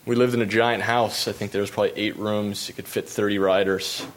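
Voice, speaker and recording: A young American man, a pro bike racer, telling stories about his races while showing slides, relaxed and full of fillers, laughing at himself now and then. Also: lowish voice, a little fast. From United States. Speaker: pro cyclist giving a talk. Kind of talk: storytelling presentation with slides.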